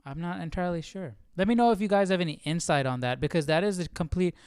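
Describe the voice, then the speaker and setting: A man's voice: sits high, fast talker, a little mellow, smooth, dry recording, studio quality. A man hosting a live Bible study stream, thinking aloud in casual, reflective commentary and checking in with listeners. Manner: thinking aloud, often asking "right?".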